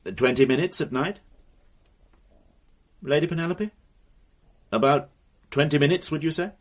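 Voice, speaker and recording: A man's voice: fairly high pitch, normal speed, close to an everyday voice. A man as a probing police inspector. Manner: persistent, clipped questioning. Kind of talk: classic radio drama acting.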